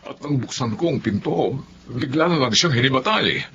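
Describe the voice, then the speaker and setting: Gentle Filipino father, muffled recording. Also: medium pitch, animated, normal speed. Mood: pleading, urgent. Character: gentle, forgiving father counseling his grown children. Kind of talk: Filipino radio drama acting. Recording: muffled radio drama audio, background noise.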